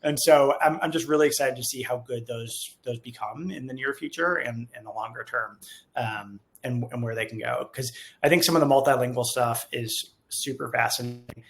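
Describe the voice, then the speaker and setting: Guy co-hosting a webinar, mid pitched voice, casual, kinda rambles and thinks out loud. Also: normal speed. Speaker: co-host of a company webinar, software company staff. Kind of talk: casual panel talk.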